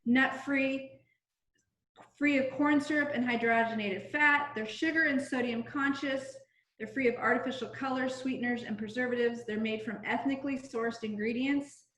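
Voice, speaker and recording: A woman's voice: a little high, steady tone, moderate pace, fairly bright tone. Chatty middle-aged woman on a roomy call. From Southern California. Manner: chatty and informal, with many ums and restarts. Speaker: direct-sales cooking consultant. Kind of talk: casual product demonstration over a video call. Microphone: video call. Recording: roomy video-call audio.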